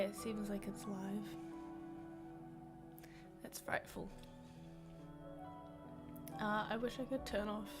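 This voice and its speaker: A sniffly young woman with a New Zealand accent talks in an unhurried, drawn-out way on a home livestream. She is chatty and self-deprecating, laughing at herself between bits of reading, at a middle pitch. Music plays clearly behind her. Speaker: livestreamer reading a book aloud. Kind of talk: casual livestream chat and book reading.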